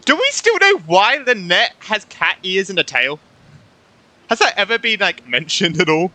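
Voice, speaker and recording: A man's voice: high voice, sing-song, moderate pace, bright, forceful tone, no echo. A man giving casual, reactive, informal commentary as he streams a video game. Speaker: gaming streamer. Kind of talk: casual let's play commentary. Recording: game music behind.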